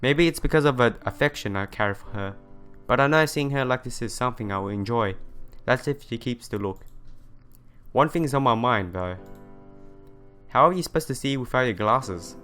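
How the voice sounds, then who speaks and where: A man narrates a visual novel in a smooth, clear voice. He reads the prose aloud and drops in casual asides as a let's player. Faint music plays behind him. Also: mid-range voice, expressive, normal speed, a bit bright. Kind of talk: narration reading with casual commentary. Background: game music.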